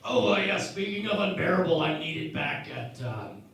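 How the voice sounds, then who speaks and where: A man playing a scatterbrained, excitable starship engineer in a comedic parody radio play, stammering and exclaiming. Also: on the high side, moderate pace. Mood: excited, absent-minded. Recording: very echoey, distant from mic.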